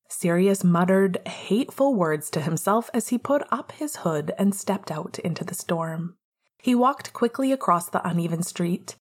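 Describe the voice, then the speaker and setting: A woman's voice: medium pitch, darkish tone. A woman reading fiction aloud as scripted narration, in the manner of an audiobook reader.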